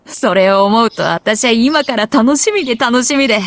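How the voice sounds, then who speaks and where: A bold tomboyish high school girl, speaking quickly in a fairly high voice. She is a confident, competitive anime game rival who talks brashly and casually. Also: expressive. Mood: teasing, cocky. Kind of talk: anime visual novel voice acting in Japanese.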